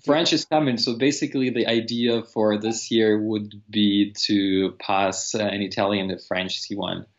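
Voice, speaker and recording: A young man whose first language is Russian talks earnestly over a video call, often stopping to restart with "uh" and "um". His voice sits on the low side. The call audio sounds dull and lo-fi. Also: steady tone, unhurried, hardly any pauses. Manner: earnest, frequent fillers and restarts. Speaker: language teacher, guest. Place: room with teal wall.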